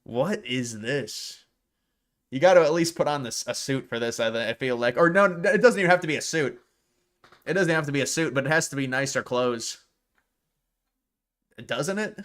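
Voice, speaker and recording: Man, live stream host, casual commentary, halting, restarts sentences, repeats words. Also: medium pitch, expressive, a bit bright.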